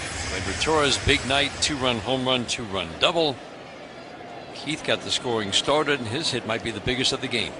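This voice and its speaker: A man calling baseball play-by-play on the radio, briskly, his voice rising on big plays. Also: medium pitch, background noise. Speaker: play-by-play announcer.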